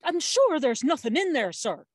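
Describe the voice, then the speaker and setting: An actress playing a secretive housekeeper aunt in an audio drama, stern and dismissive yet anxiously deferential. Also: female voice, high voice, big pitch swings, moderate pace, dry recording, studio quality. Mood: nervous denial.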